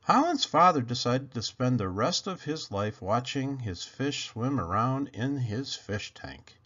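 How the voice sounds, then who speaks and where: Animated man reading a storybook, dull sound. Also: medium pitch, a little slow. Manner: expressive, dramatic emphasis, questions put to young listeners. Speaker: storyteller reading picture books aloud, channel host. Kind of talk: animated children's picture-book read-aloud.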